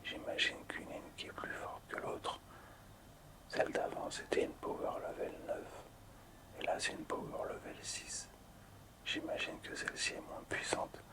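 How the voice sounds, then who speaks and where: Whispering man, ASMR host. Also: unhurried. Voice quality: breathy, unvoiced whisper. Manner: hushed, hesitant, appreciative. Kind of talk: whispered ASMR, casual card showcase.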